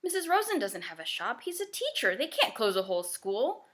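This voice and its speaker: A woman's voice: a little high, very animated, brisk, fairly bright tone. A woman voicing an earnest, anxious ten-year-old girl in a children's novel, asking emphatic, innocent questions. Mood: earnest questioning. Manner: wide-eyed, emphatic questioning. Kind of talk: children's novel read-aloud.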